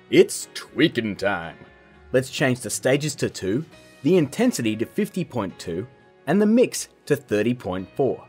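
Animated, slightly husky male tutorial host. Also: medium pitch. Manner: jokey, casual, emphatic. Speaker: host of a filmmaking tutorial show. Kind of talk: playful scripted tutorial to camera.

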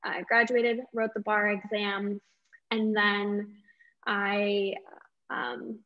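A young woman speaks as an interview guest, conversational and at an average pitch. She is on a video call through earbuds, so the sound is slightly dull and lo-fi. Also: little pitch movement, extremely slow. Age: late 20s. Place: small indoor room at home. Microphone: wireless earbuds on a video call.

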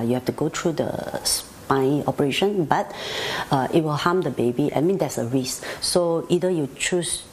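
A woman talks in a halting, emotional way, stopping and restarting. Her voice is very low and sounds distant from the mic, with faint noise behind. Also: darkish tone. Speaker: family member interviewee.

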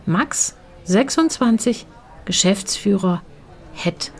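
A woman reader acts out a young woman on the hunt for love in an audio short story. She is choosy, excitable and quick to judge, with a mid-pitched voice. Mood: excited.

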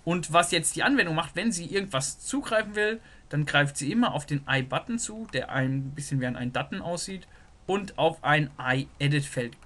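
Man, programming tutor, slightly high voice, bright tone, thinking aloud, desktop mic. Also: lively intonation, normal speed. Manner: thinks aloud while sketching, many "so" fillers. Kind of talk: screen-recorded whiteboard lesson.

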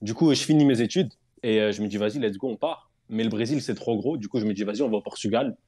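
A man guest telling a personal story in casual chat, relaxed and rambling with fillers. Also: fairly low pitch, fast, husky.

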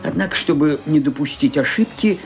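An actor playing a calm, reassuring family doctor in a radio play. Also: male voice, fairly high pitch. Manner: measured, reassuring. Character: calm middle-aged male family doctor. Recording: radio play with music behind.

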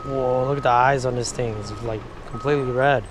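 A man's voice: mid-range voice, steady tone, fairly quick. A man chatting casually to camera as he fishes by a river, slangy and full of exclamations, recorded on an action camera. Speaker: fishing vlogger. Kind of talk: casual excited chat to camera while fishing. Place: outdoors beside a shallow river. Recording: outdoor action camera with background noise.

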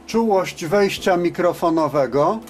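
Slow, measured man narrating a technical test. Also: high-pitched, soft background music. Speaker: reviewer of CB radios.

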